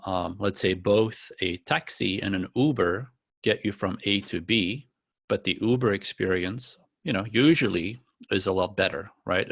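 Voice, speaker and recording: Middle-aged man, Dutch native, relaxed presenter with fillers; dry, close sound. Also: fairly low pitch, vocal fry, dry recording. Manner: relaxed, uses many fillers and restarts. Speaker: company founder presenting to partners. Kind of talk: corporate presentation.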